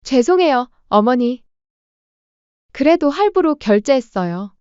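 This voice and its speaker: A woman's voice: high voice, very animated, measured pace, a little mellow, clear, resonant tone, dry recording, dull audio, pitched above the performer's own voice. A woman voicing a brazen young daughter-in-law in audiobook dialogue, polite on the surface, coaxing and entitled. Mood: meek excuse.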